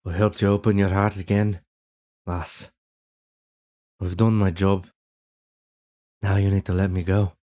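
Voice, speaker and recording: A man playing a gentle young man, a lost love earnestly reassuring his sweetheart in a fan audio drama. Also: low-pitched, level tone, unhurried, long pauses. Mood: tender, reassuring. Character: tender young Irish man, ghostly lost love in a dream.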